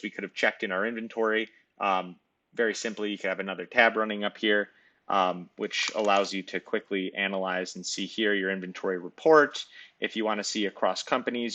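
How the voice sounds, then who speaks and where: A man narrating a screen-recorded software demo, explaining each step in a conversational way. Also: on the low side. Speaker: software demonstrator. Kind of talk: screen-recorded tutorial walkthrough.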